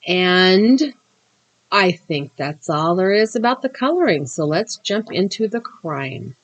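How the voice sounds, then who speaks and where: A woman's voice: on the low side, big pitch swings, takes it slowly. A woman with a slightly scratchy voice after a cough hosts her channel, chatting through a crafting tutorial and then narrating history in an explanatory way with the odd um. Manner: chatty and explanatory, with occasional ums. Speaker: crafting and true crime channel host.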